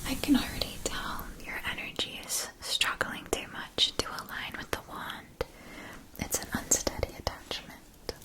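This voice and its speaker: A woman voicing a calm, knowing witch-school wand seller in a whispered, measured and formal ASMR roleplay. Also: low-quality recording. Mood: calm, solemn. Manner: measured, deliberate, formal salesmanship.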